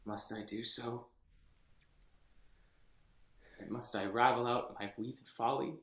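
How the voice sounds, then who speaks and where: An actor playing a deposed young king, bitter and theatrical, in a play reading over a video call, swinging from hushed whispers to anguished shouts. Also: medium pitch, level tone, normal speed, roomy sound, natural voice. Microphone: webcam on a video call.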